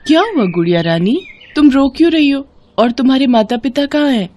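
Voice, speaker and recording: Woman playing a gentle, pleading young wife. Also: high voice, animated, brisk, noisy background, voice pushed up. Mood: tender. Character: kind, pious, pleading young daughter-in-law.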